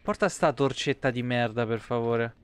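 A man's voice: mid-range voice, normal speed, smooth. A man, giving blunt, wry, sweary live commentary as he streams a video game. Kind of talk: casual live gameplay commentary.

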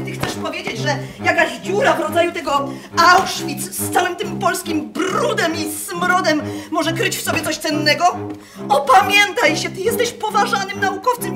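Very high-pitched scornful woman. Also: far from the mic. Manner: indignant, mocking. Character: scornful, haughty woman berating a disgraced scientist. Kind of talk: theatrical radio drama acting.

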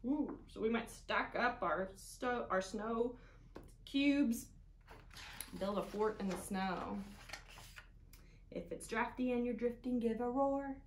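A woman's voice: on the high side, slow, long pauses. A young woman leading a picture-book storytime for children, talking to them encouragingly and inviting them to join in. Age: young adult. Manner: child-directed, encouraging participation. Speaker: children's librarian reading storytime. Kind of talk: picture-book storytime read-aloud, partly sing-song. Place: small indoor room on a couch. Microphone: camera a few feet away. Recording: echoey room, some background noise.

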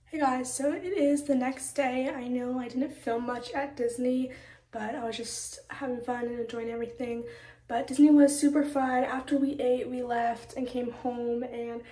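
A woman's voice: fairly high pitch, fairly even intonation, normal speed, mellow, roomy sound. A young American woman chatting casually to the camera as a vlogger, recorded on a phone held at arm's length. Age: teens to young adult. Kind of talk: casual vlog chat to camera.

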